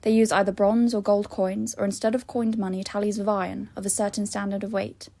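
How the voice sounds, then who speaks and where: A woman's voice: medium pitch, little pitch movement, fairly quick, gravelly, mellow. Young woman reading formally and steadily. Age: young adult. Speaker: student reader. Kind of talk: dramatic reading of a historical text.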